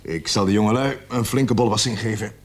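Sergeant for radio play, male actor: fairly deep, gruff, distrustful, tired but authoritative. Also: brisk, close to an everyday voice. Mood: weary, resolute. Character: gruff, wary police sergeant in charge. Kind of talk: Dutch radio play acting.

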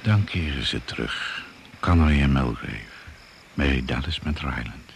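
Very deep, gravelly male narrator. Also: expressive. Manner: hushed, suspenseful, deliberate. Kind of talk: scripted dramatic narration of a radio play. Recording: noisy old radio play recording.

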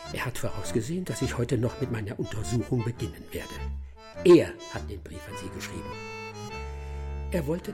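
An actor playing a brilliant, proud and impatient detective in a radio crime comedy, sarcastic and condescending. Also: male voice, mid-range voice, natural voice. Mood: sardonic, vexed.

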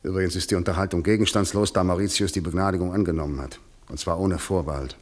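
An actor playing a stern, husky-voiced father and state prosecutor in a 1960s German radio drama. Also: deep voice, fairly even intonation, fast. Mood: cold, stern.

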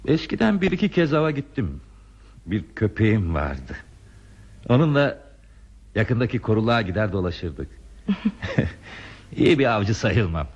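An actor playing a lonely, modest railway signalman in a radio play, speaking in a measured, wistful, plainspoken way. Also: on the low side, sing-song, husky, lo-fi sound, full character voice. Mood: plainspoken, reminiscing. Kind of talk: Turkish radio theatre acting.

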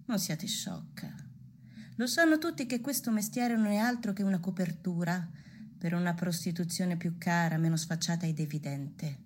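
An actress as a haughty, scolding madam. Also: female voice, lowish voice, normal speed, a little mellow, lightly acted. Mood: scornful. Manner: haughty, insistent, cutting. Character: scolding, mercenary, worldly madam. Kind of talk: theatrical radio drama acting.

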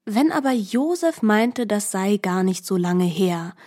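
A woman's voice: medium pitch, lively intonation, a little slow, a little mellow. A woman reading scripted literary narration for a radio production, composed and articulate, recorded in a studio.

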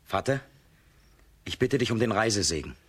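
An actor playing a strong, bold young peasant lad in a children's radio play, spirited and mocking. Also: male voice, lowish voice, very animated, normal speed, husky. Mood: resolute, fond.

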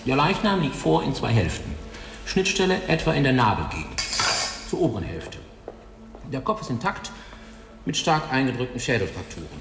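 A man's voice: medium pitch, very animated, normal speed, creaky, pauses often. An actor playing a big old male pathologist who dictates an autopsy report in a dry, clinical manner, in a radio drama. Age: older. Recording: echoey tiled room, faint hiss.

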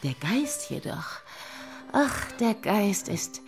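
An actress playing a sensual, grandiose middle-aged lady writer in a radio play, breathy and dramatic, breaking into whispers. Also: mid-range voice, very animated, slow, soft background music, heavily acted. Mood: rapturous, confiding. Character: sensual, theatrical lady writer craving self-abasement. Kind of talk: German radio play acting.

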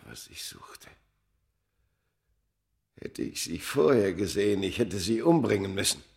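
An actor playing a tormented, immortal Egyptian under a curse in a German radio play, solemn and theatrical, moving from hushed words to fierce outbursts. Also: male voice, deep voice, vocal fry, heavily acted. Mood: hushed, relieved anguish. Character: tormented, cursed ancient Egyptian man, four thousand years old.

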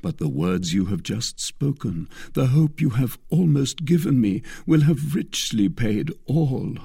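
A man's voice: medium pitch, very animated, a little slow, gravelly, dark tone, husky, dry recording, clean studio sound, full character voice. A man voicing an ardent lovestruck nobleman, declaiming passionately in a dramatic audiobook reading. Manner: impassioned, declamatory.

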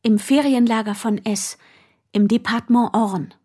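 A woman's voice: a little high, moderate pace, dark tone, husky, no room sound, clean studio sound. An actress playing a woman narrator in a literary radio play, matter-of-fact in delivery.

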